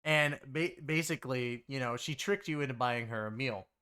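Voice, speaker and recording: A man's voice: medium pitch, normal speed, sharp tone, clean tone. A man giving a casual, rambling game story review in a conversational way, leaning on filler phrases. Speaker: game reviewer and content creator.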